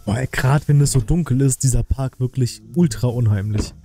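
A man's voice: mid-range voice, normal speed. A man in his thirties giving casual live reaction commentary as a video host from a small room. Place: small room indoors at a desk.

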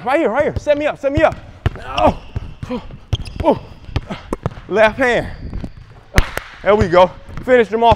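A man's voice: very high voice, slight background noise. A young man coaching basketball moves, calling out plays with energy in a large indoor gym. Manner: energetic, shouts calls on court. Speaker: basketball trainer. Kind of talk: coaching demonstration.

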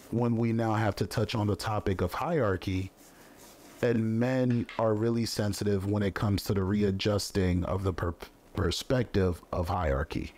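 A man co-hosting a relaxed conversation, reflective and explaining his view at length. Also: fairly low pitch, slight background noise. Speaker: co-host. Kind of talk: casual conversation. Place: studio set with a table.

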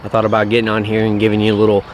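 A young man talks low and flat, without much lift in his voice, as he recaps a hunt in a loose, rambling way. He's outdoors near the woods, recorded on a camera mic, with a faint outdoor hush behind him. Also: racing, slight background noise. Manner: rambling, repeats words, plain-spoken. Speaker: turkey hunter. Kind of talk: casual hunting vlog recap.

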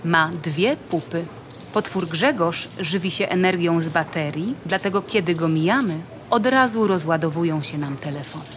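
A woman's voice: on the low side, animated, normal speed, noisy background. A woman reading scripted narration in the style of a nature documentary for an audio play. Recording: music behind the voice. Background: music.

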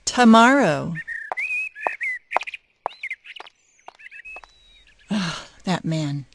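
A woman's voice: on the low side, expressive, slow, gravelly, heavy background noise, full character voice. A woman playing an irritable, cutting divorced mother in an audio drama, curt and scolding. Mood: exasperated. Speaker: voice actor.

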